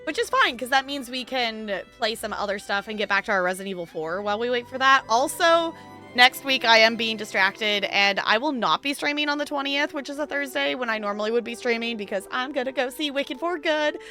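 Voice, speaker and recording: A woman streams a game and chats with her viewers in a loose, rambling way, laughing often. Her voice is bright and a touch high. Soft game music plays behind her. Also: fairly quick. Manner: chatty and rambling, laughs easily, talks to chat. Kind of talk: casual live-stream chat while gaming.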